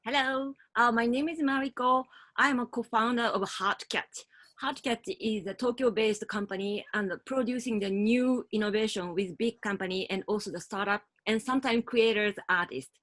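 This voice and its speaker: A woman whose first language is Japanese, a startup co-founder speaking on an online panel in careful, halting English. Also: fairly high pitch, steady tone, fairly bright tone. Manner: careful non-native English with pauses.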